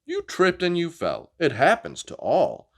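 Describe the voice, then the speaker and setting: Man as an upbeat, lively dinosaur pal. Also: fairly high pitch, a little slow, pitched above the performer's own voice, exaggerated voice. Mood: reassuring. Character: upbeat, encouraging young dinosaur friend who reassures.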